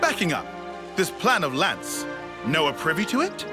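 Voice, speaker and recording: A man's voice: fairly high pitch, wildly swooping pitch, a little slow. A man voicing a fussy, sensible small creature tinkerer in a video game, lecturing drily in clipped broken English. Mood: reproving. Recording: loud game music underneath.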